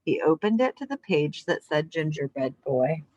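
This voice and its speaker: A woman speaking as an elementary teacher to young children, in simple, clear wording suited to a lesson or storybook read-aloud. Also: deep voice, big pitch swings, normal speed, darkish tone, dry recording, lo-fi sound. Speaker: elementary physical education teacher.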